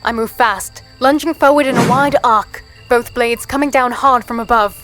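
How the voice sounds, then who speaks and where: A woman's voice: on the high side, moderate pace, fairly bright tone, soft background music, lightly acted. A woman playing a plucky, spirited fighter, telling her story in earnest first person in a fantasy audio drama. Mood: tense storytelling. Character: plucky, spirited small female fighter and archer.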